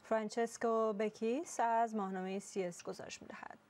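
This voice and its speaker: A woman's voice: fairly high pitch, steady tone, a little mellow. A woman presenting scripted news links as a broadcast anchor.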